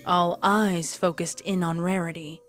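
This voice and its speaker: A woman's voice: lowish voice, fairly even intonation, unhurried. A woman reading scripted story narration as the narrator of a dramatic tale. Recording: clear music behind the voice.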